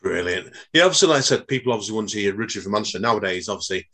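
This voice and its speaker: A middle-aged man hosts a casual interview, talking quickly and tumbling through his questions. His voice is low and husky. He rambles, repeating stock phrases as he goes. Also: animated, a bit bright. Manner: rambling, leans on "obviously" and "like I said". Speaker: podcast host.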